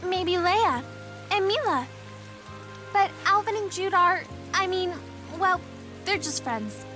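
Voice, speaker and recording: A woman's voice: very high-pitched, expressive, takes it slowly, full character voice. An actress playing a perky, earnest, youthful girl in a video game. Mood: musing. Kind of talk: animated video game voice acting. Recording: studio voice with music behind.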